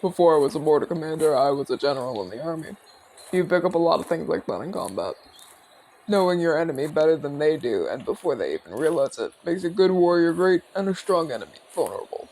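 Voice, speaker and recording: Man voicing a gravelly, genial orc ex-general. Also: on the high side, expressive, darkish tone, low-quality recording. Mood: wry, reflective. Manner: courteous, measured, chatty. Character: genial retired orc general, male border commander, calm, inquisitive. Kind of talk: ASMR audio roleplay, speaking to the listener.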